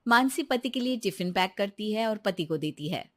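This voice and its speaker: A woman's voice: medium pitch, expressive, moderate pace. A woman delivering scripted narration as the storyteller of a moral tale.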